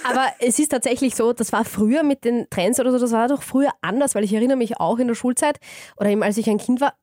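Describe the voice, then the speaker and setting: Woman, Austrian accent, podcast host, fast, chatty, enthusiastic, slightly high voice. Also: a little mellow, dry recording, clean studio sound. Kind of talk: casual podcast interview.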